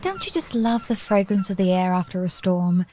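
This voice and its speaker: An actress playing a romantic, eager young gentlewoman in a radio play, speaking in a polite, gushing, theatrical way. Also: medium pitch, sing-song, normal speed, a little hiss or hum, close to an everyday voice. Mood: cheerful, gracious.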